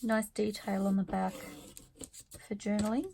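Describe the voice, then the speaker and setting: A woman losing her voice, talking quietly and thinking aloud as she works on a craft project in her studio. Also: medium pitch, little pitch movement, unhurried, creaky, a little hiss or hum. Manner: quiet, murmured asides to herself while working. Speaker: craft vlogger. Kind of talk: soft-spoken craft-along commentary, thinking aloud.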